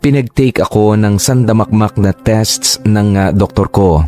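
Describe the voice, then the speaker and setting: A low, mellow male voice narrates a radio drama as a man plays a young man recalling his illness. He speaks earnestly and confessionally, unhurried and heartfelt. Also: faint music behind, natural voice. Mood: somber.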